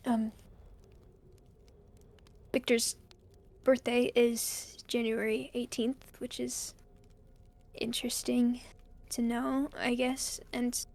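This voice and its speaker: A woman playing a weary, lonely college student recording a halting personal log in an audio drama. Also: fairly high pitch, flat delivery, a little mellow. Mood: subdued, unsettled. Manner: hesitant, halting, sighing. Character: weary, lonely college student stuck alone in a snowbound house.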